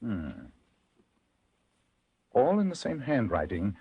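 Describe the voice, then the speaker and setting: A composed, brisk gentleman detective from old-time radio. His pitch swings widely as he reasons aloud in precise, theatrical phrases. The voice is a little rough-edged, with formal courtesy throughout. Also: huge pitch swings, phone-quality sound, full character voice. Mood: analytical. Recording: vintage radio drama recording.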